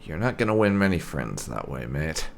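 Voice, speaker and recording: A man's voice: extremely low pitch, big pitch swings, moderate pace, vocal fry, a crowd in the background. A man role-playing a game character in a cheeky, teasing, thoughtful way. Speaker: gaming channel player role-playing his character. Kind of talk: in-character roleplay of game dialogue.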